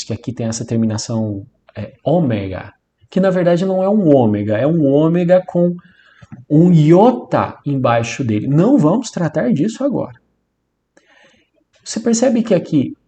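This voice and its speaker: A man's voice: fairly high pitch, warm tone. A man with a Brazilian accent giving an informal lesson as a teacher, repeating and stressing key points in a didactic way. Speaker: Biblical Greek teacher. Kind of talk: informal instructional lecture.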